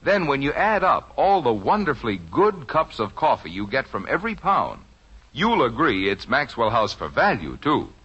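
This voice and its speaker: A man's voice: medium pitch, huge pitch swings, moderate pace, fairly bright tone, breathy edge. An American man reading a persuasive scripted coffee commercial in mid-century radio style. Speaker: commercial announcer. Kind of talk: scripted 1950s radio advertising pitch. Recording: muffled old radio recording.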